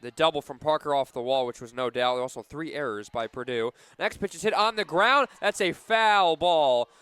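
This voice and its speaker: A man calling live softball play-by-play for radio, measured between pitches and animated on big plays. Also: clean tone, no room sound.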